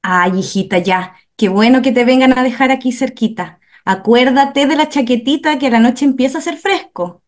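A woman's voice: high-pitched, normal speed, few pauses, natural voice. A woman playing an affectionate, fussing Chilean mother in a radio drama. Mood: affectionate, concerned. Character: caring mother, seamstress fussing over her daughter. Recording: noisy, with machine clatter behind.

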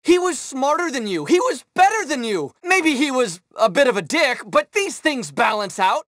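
A man playing a vain, jittery tech villain in a video game, glib and excitable. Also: very high voice, normal speed, no room sound, clean studio sound, heavily acted. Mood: anguished fury. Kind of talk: scripted video game acting.

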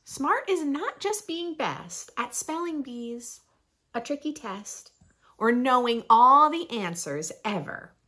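A woman in her thirties reading aloud expressively for young children, with playful emphasis and deliberate phrasing. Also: a little high, very animated, sharp tone. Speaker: host of a children's read-aloud channel. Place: small furnished room indoors.

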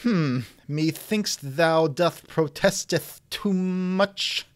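A man's voice: fairly high pitch, extremely slow, no room sound. A man hosting a scripted comedy interview show in a theatrical, wisecracking manner, close to the mic. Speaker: podcast host and interviewer.

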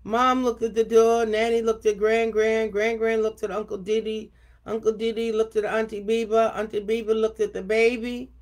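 An older woman tells a picture-book story aloud in a somewhat high voice. Her delivery is expressive and deliberate, stressing key phrases as a storyteller does for children. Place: home office room indoors. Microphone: webcam at desk distance.

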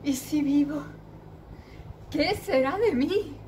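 A woman's voice: high voice, slow pace, a little mellow, a little hiss or hum. A woman playing a frightened young noblewoman in a declamatory classical stage monologue, full of dramatic questioning. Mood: terrified. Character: frightened young noblewoman agonizing over dark fears. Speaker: amateur stage actress.

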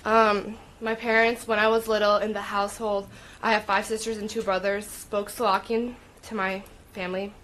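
A young woman from the United States giving a personal statement at a meeting, halting, with many "um" and "like" fillers. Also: mid-range voice, level tone, a bit bright, slight background noise, rough recording. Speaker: student speaking at public comment. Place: meeting room with audience.

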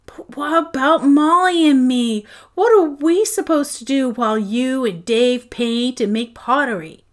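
A woman reading aloud voices an upset ten-year-old boy who pleads in a high, near-tearful voice. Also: a little slow. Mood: pleading. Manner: high, pleading, on the edge of tears.

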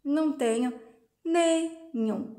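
A woman's voice: sits high, very slow, dark tone, full character voice. A woman reading the lines of a bitter, proud old rich man in a soap recap, grave and resentful.

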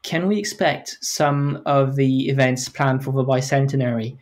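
A man hosting a panel podcast, asking long, measured framing questions with occasional fillers. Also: mid-range voice, fairly even intonation, a little mellow. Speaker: podcast host. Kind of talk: moderating a panel discussion.

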